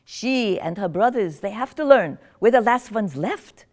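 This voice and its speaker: A woman's voice: medium pitch, animated, measured pace, darkish tone. Lady doing an old granny in a nursing home, teasing, chatty, voice goes up and down a lot. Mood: doting. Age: older. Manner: gossipy, teasing, drifting into confusion. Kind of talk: one-woman stage monologue.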